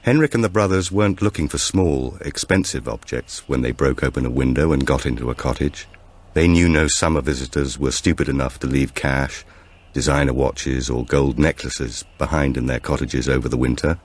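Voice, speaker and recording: A man's voice: a bass voice, normal speed. A man reading a thriller audiobook as narrator, in a dramatic scripted storytelling style.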